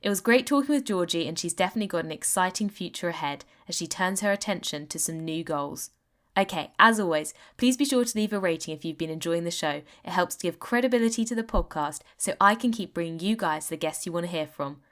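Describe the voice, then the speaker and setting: A woman's voice: lowish voice, animated, fast, a bit bright. A young woman hosting a podcast interview. She reads a scripted intro and then chats in an upbeat, encouraging way, full of praise. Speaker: podcast host.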